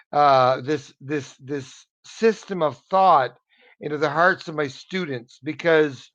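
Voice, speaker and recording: A man's voice: a little high, fairly bright tone. Earnest American man on a muffled video call. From United States. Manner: earnest, encouraging, repeats words while searching for them. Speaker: English tutor. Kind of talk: motivational one-on-one online lesson.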